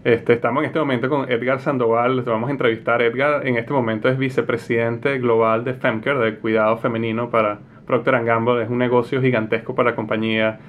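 A man's voice: medium pitch, flat delivery, brisk, hardly any pauses. A man hosting a podcast interview, asking questions with hesitations and restarts. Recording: dull lo-fi sound with faint noise.

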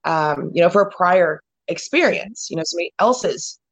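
A woman's voice: on the low side, expressive, normal speed. A woman hosting a casual conversation, talking in a rambling way with lots of "you know" fillers and restarts.

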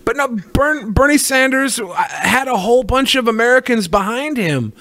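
High-pitched sweary man on a podcast. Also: lively intonation, normal speed, pushed and bright. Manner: sweary, loose, mimicking quotes mid-talk. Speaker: podcast co-host. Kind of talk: casual political banter.

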